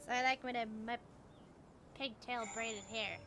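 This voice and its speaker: A woman streamer with an excitable, exclamatory manner, casually reacting to a game live. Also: fairly high pitch, moderate pace, soft background music.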